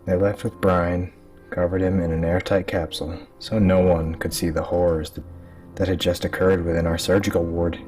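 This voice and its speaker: A man's voice: low voice, flat delivery, moderate pace, darkish tone. A man reading a scripted horror story as a dramatic narrator. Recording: distant mic with music underneath. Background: music.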